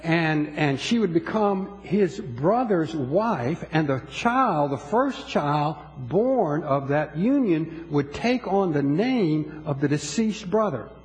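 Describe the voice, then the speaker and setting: A man's voice: fairly high pitch, expressive, slow, few pauses, a little mellow, long reverb tail, highs cut off, soft background music. A man preaching a sermon to his congregation in a church, emphatic and repeating his words as he talks to them. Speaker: pastor.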